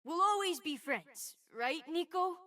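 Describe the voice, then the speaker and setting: Game role: innocent, playful young boy hero, chirpy, bright, highly animated. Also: unhurried, full character voice. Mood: eager, proud. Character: eager, kind, playful young boy adventurer with fire buddies. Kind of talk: video game character voicelines.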